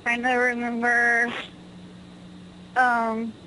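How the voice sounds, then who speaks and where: A woman's voice: fairly high pitch, completely flat delivery, extremely slow, bright, forceful tone. A woman working as a store employee, helpfully and tentatively answering a customer on the phone. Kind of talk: casual customer-service phone talk. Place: store, on a telephone. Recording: noisy phone line call.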